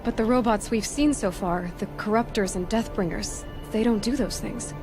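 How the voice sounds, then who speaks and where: A young woman acted by a female performer as a headstrong, inquisitive video game huntress. Her mid-pitched voice is direct and insistent, pushing for answers, with music playing underneath. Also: moderate pace, lightly acted. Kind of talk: video game cutscene dialogue.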